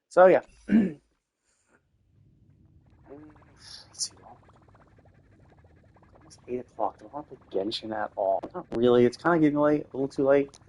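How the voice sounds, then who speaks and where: A man's voice: mid-range voice, darkish tone. A man streaming a game live, thinking aloud in a loose, rambling way with lots of restarts. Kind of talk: casual live-stream commentary while playing.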